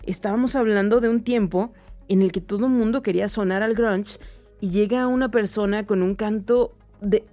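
A woman talks through music history on a casual podcast. She tells it with lively energy and plenty of filler words. Faint music plays underneath. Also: medium pitch. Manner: animated, storytelling with many "o sea" fillers. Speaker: podcast co-host.

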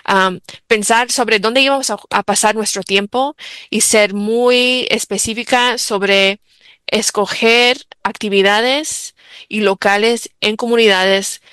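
Bright, crisp-voiced bilingual woman guest. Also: medium pitch, steady tone, takes it slowly, no echo. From United States. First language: English. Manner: reflective, many fillers and restarts. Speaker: interview guest, bilingual mother. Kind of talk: interview answers.

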